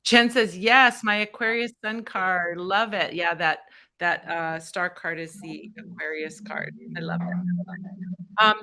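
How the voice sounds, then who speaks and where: Bright-voiced woman hosting a live tarot stream. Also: lowish voice, steady tone, slow pace. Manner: chatty and encouraging, thinks aloud while fumbling with the stream setup.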